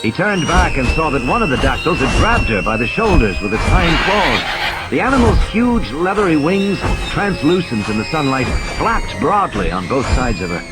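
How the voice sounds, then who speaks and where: A man's voice: a little high, sing-song, normal speed, poor audio. A man giving a dramatic scripted narration of a story as an audiobook narrator. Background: music and sound effects.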